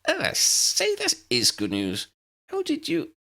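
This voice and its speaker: A man's voice: on the high side, huge pitch swings, a little slow, sharp tone, voice pushed up, exaggerated voice. A man voicing a small, courteous male professor in an audiobook, exclamatory and easily astonished. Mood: astonished, delighted. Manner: exclamatory, proper, swooping.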